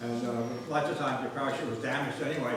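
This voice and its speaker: Older male veteran, halting and soft-trailing, distant in an echoing hall with faint noise. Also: steady tone. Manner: halting, repeating words, voice dropping low. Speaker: veteran speaker. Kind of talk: personal war memories at a ceremony. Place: grange hall. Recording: echoey hall, voice from far off.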